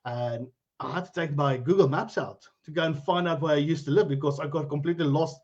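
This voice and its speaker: Chatty South African man, slightly high voice. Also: a little fast, a little mellow, dull audio. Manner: chatty, rambling, laughs easily. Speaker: guest, cryptocurrency educator. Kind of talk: casual interview over a live stream. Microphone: video call.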